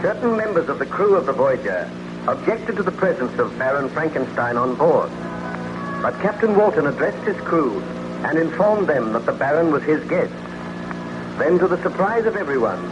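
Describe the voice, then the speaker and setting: A solemn storyteller of an old 1930s radio serial, formal and measured. His voice is a bit high, muffled by the dated recording, with music clearly behind it. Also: very animated. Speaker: announcer and narrator. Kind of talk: 1930s radio scripted narration.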